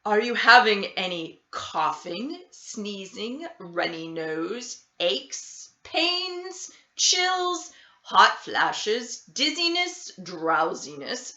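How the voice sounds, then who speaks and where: A woman's voice: medium pitch, sing-song, extremely slow, pushed and bright, reverberant, dull audio, exaggerated voice. A woman voicing a fussy male doctor in a children's storybook, with an emphatic, declamatory manner. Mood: brisk questioning. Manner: declamatory, listing items with relish.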